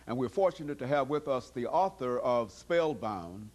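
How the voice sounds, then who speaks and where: A middle-aged man hosting an interview show, speaking slowly with many "uh" pauses. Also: medium pitch. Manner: slow, halting, many "uh" fillers and steady "mm-hmm" backchannels. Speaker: talk show host.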